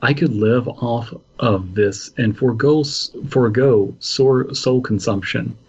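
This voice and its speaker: A man's voice: medium pitch, measured pace, a little mellow, husky. A man co-hosting a podcast, reading aloud casually with wry commentary, on a slightly dull microphone. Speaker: podcast co-host.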